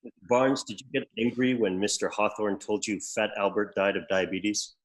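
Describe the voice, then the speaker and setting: Male actor as formal interrogator for sitcom read. Low-leaning pitch, deadpan, stern, procedural. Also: normal speed, lightly acted, low-quality recording. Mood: stern, probing. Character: stern formal male interrogator running lie-detector questioning. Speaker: actor at a virtual table read.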